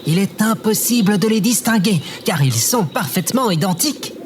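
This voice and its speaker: A woman's voice: medium pitch, expressive, moderate pace, soft background music, natural voice. A woman playing an anxious, self-pitying robot guard in a comedic audio drama, dramatic and whiny. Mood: pompous.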